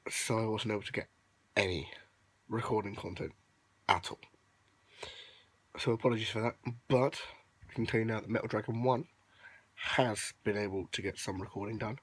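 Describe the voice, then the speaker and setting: A young man with a hoarse, worn-out voice, unwell and sniffly, casually recapping in a rambling vlog, lying in his bedroom and talking into a phone held at arm's length. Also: lowish voice, moderate pace, pauses often, a bit bright. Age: young adult. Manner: rambling with many ums, upbeat. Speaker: vlogger. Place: bedroom at night, lying on a bed. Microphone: phone held close at arm's length.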